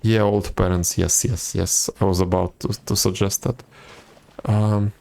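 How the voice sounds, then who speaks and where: A man's voice: sits low, level tone, unhurried, gravelly, a little mellow. A man chatting casually with viewers while livestreaming a game, laid-back and teasing. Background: game music.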